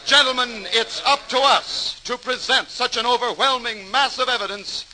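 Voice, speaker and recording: Crusading reformer doctor, male: high, forceful, formal delivery, 1940s radio drama on a faintly noisy old recording. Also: normal speed, heavily acted. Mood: resolute. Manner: emphatic, formal, urgent. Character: earnest, determined crusading doctor, a public health reformer.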